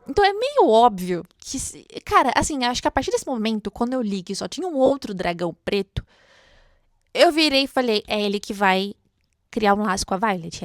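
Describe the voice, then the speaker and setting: High-pitched Brazilian woman chatting about books. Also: no room sound, clean studio sound. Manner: chatty and expressive, with rhetorical questions and slangy asides. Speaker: book club host. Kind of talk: casual book commentary to followers.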